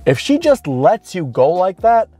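Higher-pitched man, blunt and emphatic. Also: sing-song, warm tone. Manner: blunt, informal, emphatic with drawn-out key words. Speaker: dating coach. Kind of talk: direct-to-camera advice talk. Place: inside a parked car. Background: background music.

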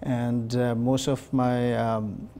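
A middle-aged man from Pakistan talks in a warm, mellow tone of middling pitch. He explains things step by step, often pausing on uh and um. He is recorded on a lapel mic in a television studio. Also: little pitch movement, a little hiss or hum. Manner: measured, explanatory, frequent uh and um fillers. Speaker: urologist guest. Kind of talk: studio interview answers.

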